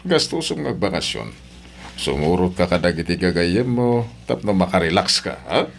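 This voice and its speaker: A man's voice: sits low, big pitch swings, moderate pace, vocal fry, a little hiss or hum, heavily acted. A man with an Ilocano Filipino accent acting a volatile husband in an audio drama. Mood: indulgent, placating. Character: husband, doting on the surface, violent-tempered businessman.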